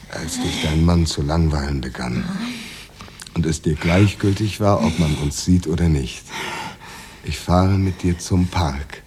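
An actor in a radio drama playing a menacing, coaxing Soviet official who speaks in a deliberate, insinuating way. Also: male voice, extremely low pitch, a little mellow, slight background noise.

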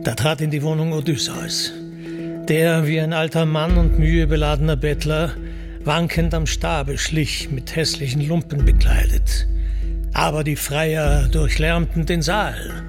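A man narrates an ancient epic with slow, solemn weight. His voice sits a little high and carries a marked gravelly creak. The delivery is declamatory literary recitation, with loud music running underneath. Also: steady tone. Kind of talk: dramatic literary recitation of classical verse in a radio play.

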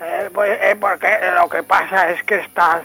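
A man voicing a pompous 98-year-old retired army officer from Spain, halting and repetitive in a satirical radio interview, with a Spanish accent. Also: high-pitched, steady tone, creaky, pushed and bright, slightly rough, exaggerated voice. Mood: earnest, rambling. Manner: halting, repetitive, solemnly formal.

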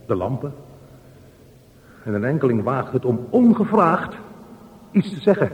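An actor playing a brooding, observant train passenger in a halting radio drama monologue. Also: male voice, mid-range voice, lightly acted. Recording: muffled, echoey, noisy radio drama recording.

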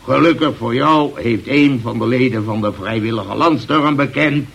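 An actor in an old radio drama playing a grumbling, blustering older boss, gruff but fair, who hesitates and interrupts. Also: male voice, medium pitch, moderate pace, a bit bright. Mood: grudging, blustering. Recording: old radio play recording.

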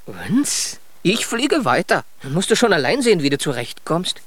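An actor playing a fond but independent flying companion in a children's radio play. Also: male voice, on the high side, very animated, moderate pace, a bit bright, no room sound. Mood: fond, brisk.

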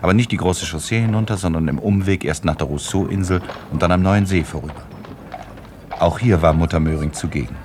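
Guy narrating a classic literary radio drama, deep low voice, scripted storytelling. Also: brisk, background noise.

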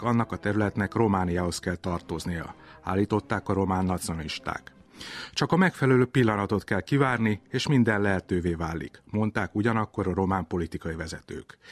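A man delivering scripted historical narration as a documentary narrator. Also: on the low side.

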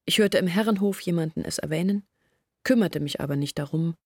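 A breathy confiding village woman. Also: on the low side, fairly quick, no room sound, studio quality. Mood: earnest, confiding. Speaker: Olga, a village woman telling her family's story. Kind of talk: German radio play monologue.

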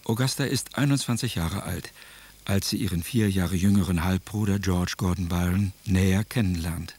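Low-pitched male radio drama narrator. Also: moderate pace, darkish tone, husky, soft background music. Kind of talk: scripted documentary-drama narration.

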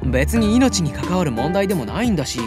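A carefree, adventurous boy voiced by an actor in an anime-style audio drama, the easygoing younger brother. The speech is casual and boyish with lively, wide-moving intonation, over clearly audible music. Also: mid-range voice, fairly quick, natural voice. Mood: cheerful, excited.

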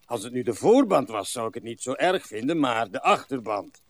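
An elderly gentleman in a radio drama, in a medium-pitched voice. He is doleful and resigned, grumbling haltingly yet with old-fashioned courtesy. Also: big pitch swings, no room sound. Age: older.

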